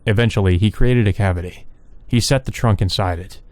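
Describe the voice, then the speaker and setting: A man's voice: on the low side, fairly quick, darkish tone. A man reading visual novel story text aloud as narration for a let's play.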